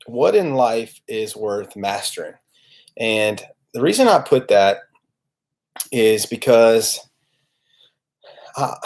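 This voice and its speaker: Halting, earnest man in his late thirties. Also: lowish voice, darkish tone. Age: late 30s. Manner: halting, searching, conversational, earnest. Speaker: motivational video host. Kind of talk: informal reflective monologue to viewers.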